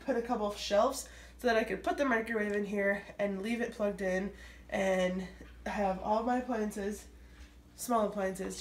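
A woman's voice: medium pitch, slight background noise. A woman in her thirties with an American accent, chatting to the camera while she renovates an unfinished laundry room, filmed on a phone. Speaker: vlog host. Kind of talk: casual home renovation vlog. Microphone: phone camera held at a distance. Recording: echoey room, distant phone mic.

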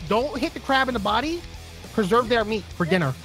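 A man chatting and joking through a video game in a silly, excitable, exclamatory way. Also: very high-pitched, a little fast, faint music behind. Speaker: gamer in a group gameplay video. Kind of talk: casual joking gameplay chat.

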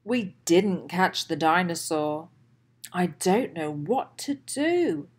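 A woman reading a children's storybook aloud in an expressive, deliberate way with emphatic phrasing. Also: fairly low pitch, husky. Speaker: host and children's storybook reader.

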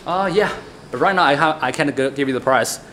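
A man in his thirties with a Chinese accent, giving a casual company tour in halting, simple English. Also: a little high, fairly bright tone. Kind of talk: casual unscripted product tour. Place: open-plan office, indoors. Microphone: camera mic at short distance. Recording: noisy office ambience, camera microphone.

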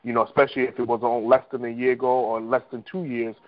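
A man's voice: mid-range voice, little pitch movement, quick pace. A man giving informal team coaching on a conference call over the telephone, conversational and repeating himself. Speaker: insurance agent team leader. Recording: dull, lo-fi conference phone line.

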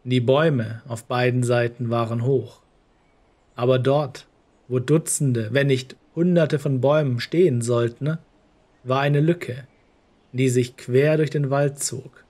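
A man's voice: mid-range voice, steady tone, a little slow, a little mellow. A man narrating a scripted horror story in the role of storyteller. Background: music.

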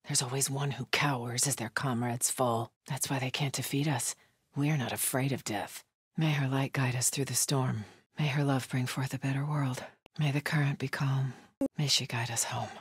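An actress playing a stern, devout cult soldier in a video game, solemn, guarded and terse. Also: female voice, very deep voice, steady tone, normal speed, breathy edge, dry recording, clean studio sound, exaggerated voice. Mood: solemn, watchful. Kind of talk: video game enemy dialogue.

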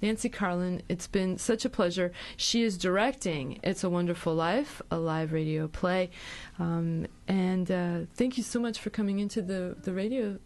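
A woman's voice: lowish voice, steady tone, moderate pace, a little mellow. A woman radio host chatting casually with guests and giving show details. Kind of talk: casual radio interview and sign-off.

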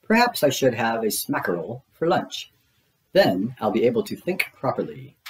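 A man voicing a gentle, simple little bear with a mild, earnest, childlike way of musing. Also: mid-range voice, very animated, moderate pace, a little hiss or hum, close to an everyday voice. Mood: musing, content.

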